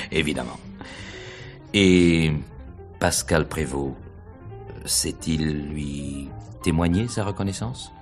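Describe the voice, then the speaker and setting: A man's voice: very deep voice, slow, long pauses, soft background music, heavily acted. A man playing a probing, wry police investigator in a radio mystery, asking insinuating questions with knowing hesitations. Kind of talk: 1950s French radio mystery acting.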